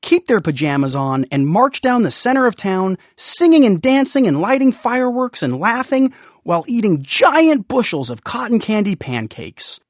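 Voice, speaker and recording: A man narrating a children's audiobook in an expressive, playful way with dramatic pauses. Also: sits high, no room sound. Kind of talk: expressive scripted storybook narration.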